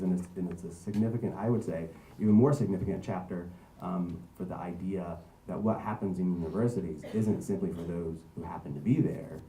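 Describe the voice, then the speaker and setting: A man's voice: deep voice, warm tone. A man giving a public talk, reading a passage of law aloud and then explaining it with frequent ums and tag questions. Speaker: panel speaker. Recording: roomy hall, distant mic, faint noise.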